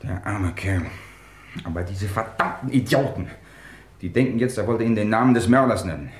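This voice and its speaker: Radio crime play: cornered suspect, lowish husky voice, swinging from pleading to sardonic. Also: male voice, expressive, moderate pace. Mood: troubled, bitter. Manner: urgent, sardonic, emotional. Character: accused man, desperate, exasperated, sardonic. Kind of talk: German radio crime drama acting.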